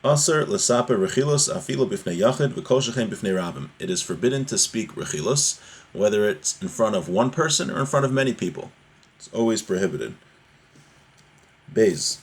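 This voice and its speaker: A man's voice: on the low side, slightly rough. A man giving an informal religious lesson, reading from a source text and explaining it conversationally with everyday examples. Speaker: religious teacher giving a class.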